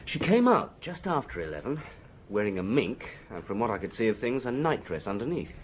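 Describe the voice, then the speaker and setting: A precise police detective in a radio play. He gives measured, clipped reports in a lowish, expressive voice over faint noise. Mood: matter-of-fact. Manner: measured, factual, clipped phrases. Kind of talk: radio drama acting.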